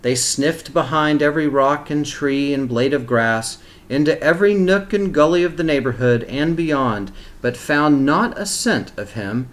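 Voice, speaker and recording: A man reading a picture book aloud to young children as a teacher, pausing for simple explanatory asides. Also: fairly high pitch, animated.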